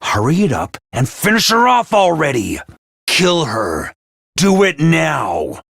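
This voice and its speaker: A man voicing a brisk, ruthless academy commander in a video game, barking orders and announcements. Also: fairly high pitch, huge pitch swings, creaky, a bit bright, dry recording, studio quality, exaggerated voice. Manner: clipped orders and announcements, drill-sergeant bark. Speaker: voice actor in a game.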